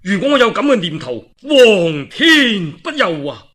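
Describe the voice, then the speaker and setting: A man's voice: sits high, very animated, a little slow, bright, forceful tone, voice pushed up, heavily acted. A male storyteller playing a humble, upright warlord who speaks formally, earnestly and courteously in Cantonese. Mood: humble protest.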